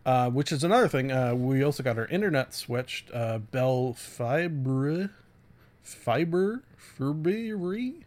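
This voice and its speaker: A man hosting a podcast solo, giving casual reviews in a halting way, often interrupting and correcting himself. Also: medium pitch, lively intonation, darkish tone. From Canada. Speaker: podcast host.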